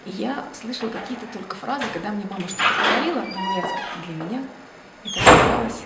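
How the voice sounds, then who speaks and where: Soft-spoken woman, roomy distant recording. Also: medium pitch, lively intonation, vocal fry. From Russia. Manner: thoughtful, unhurried, often trails off softly. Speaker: ballet dancer, interviewee. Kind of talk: reflective interview answers. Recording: roomy, distant mic, noisy background.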